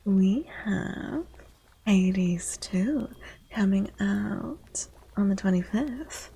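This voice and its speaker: A woman hosts a soft-spoken ASMR stream in a very breathy, half-whispered voice. Her delivery is slow and mellow, with plenty of creak at the edges. She chats gently and often repeats little phrases. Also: medium pitch, animated, poor audio. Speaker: livestream host chatting with viewers.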